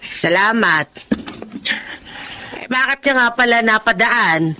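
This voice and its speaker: A woman's voice: fairly high pitch, animated, slow, sharp tone, slight background noise, heavily acted. A weary old foster mother. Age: older. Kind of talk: radio drama acting.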